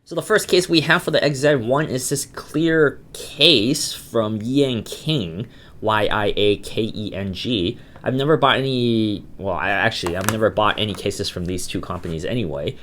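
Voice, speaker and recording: A man with a slightly low voice and a cold hosts his own gadget review channel. He talks casually and chattily, circling back with fillers and restarts as he walks through a product. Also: moderate pace, a bit bright, slight background noise. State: has a cold, voice sounds a bit off. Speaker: tech reviewer, channel host.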